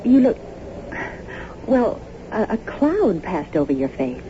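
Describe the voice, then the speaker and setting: A friendly young wife in a radio play, chatty and curious. Her delivery rises and falls freely. The recording is muffled, with clear background noise. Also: mid-range voice, big pitch swings, takes it slowly, warm tone. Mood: polite, bright. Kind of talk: naturalistic radio drama acting. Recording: muffled, noisy old radio broadcast.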